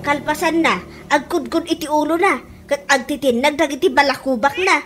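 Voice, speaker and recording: An actress playing a fed-up, scornful gossiping neighbour in a comedy radio drama, with an Ilocano accent. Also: female voice, high voice, normal speed, lightly acted.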